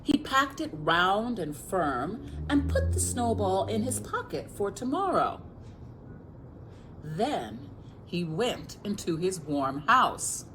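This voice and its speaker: A woman's voice: lowish voice, very animated, slow, fairly bright tone, a little hiss or hum, low-quality recording. An American woman reading a picture book aloud as a children's librarian, expressively, speaking directly to young listeners.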